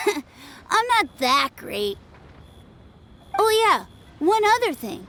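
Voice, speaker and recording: An actress voicing an eager, boastful young child who plays at being a sword hero in a video game, naive and excitable. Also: female voice, very high-pitched, very slow, slight background noise, heavily acted. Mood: cheerful.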